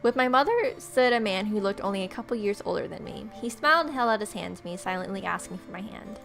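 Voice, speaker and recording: A woman narrates a visual novel in a medium-pitched voice. She reads the story as a gaming host, slipping in playful asides, with faint music behind her. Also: lively intonation, fast, creaky, fairly bright tone.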